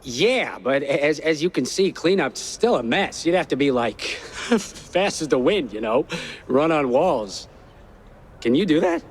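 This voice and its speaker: A man's voice: on the high side, animated, a little slow, breathy edge. A man voicing a laid-back, dreamy slacker circus worker in a cartoon game, with casual drawling talk.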